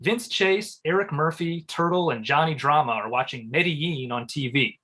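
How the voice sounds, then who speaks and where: Bright, highish male script reader. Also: normal speed. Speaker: writer-performer reading screen directions. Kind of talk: scripted narration at live comedy table read.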